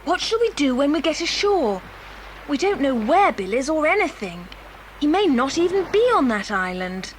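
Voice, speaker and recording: A woman's voice: sits high, big pitch swings, moderate pace, slight background noise. A woman playing an anxious, inquisitive young girl in a children's adventure drama. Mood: anxious, curious.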